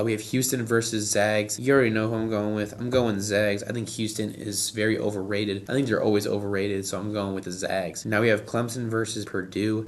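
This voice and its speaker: Male podcast host, hoarse, talks through picks in a flat low voice. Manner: casual, self-correcting, repeats "I'm going". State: hoarse from allergies. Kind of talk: solo sports bracket breakdown.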